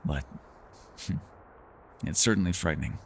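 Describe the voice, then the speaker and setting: A man voicing a reclusive, centuries-old elf in a fantasy ASMR roleplay, gentle, wry and secretive, with measured formal phrasing. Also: deep voice, gravelly, slight background noise. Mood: grave, confiding. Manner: measured, formal phrasing, hesitant and softly teasing. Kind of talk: fantasy ASMR roleplay acting, intimate one-sided dialogue.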